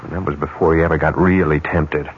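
A very low-voiced, cynical executive, smooth and insistent, talking someone round with a chuckle. It is old radio mystery acting, on a dull recording with some hiss and noise. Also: male voice, steady tone, fast. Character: cynical, persuasive male ad executive scheming to corrupt a colleague.

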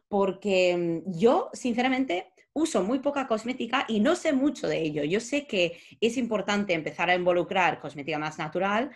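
A woman hosting a podcast, introducing her guest and asking friendly, curious questions. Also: medium pitch. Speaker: podcast host. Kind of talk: podcast interview.

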